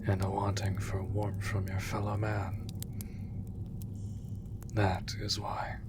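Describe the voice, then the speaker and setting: Man acting a hushed, slow-spoken weary monster hunter. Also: low-pitched, heavy background noise. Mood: gentle, tender. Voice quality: breathy, half-whispered. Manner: slow, halting, hushed delivery. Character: gentle, world-weary male monster hunter, lonely and protective. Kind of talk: intimate comfort audio roleplay. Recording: loud rain and cave ambience. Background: rain in a cave.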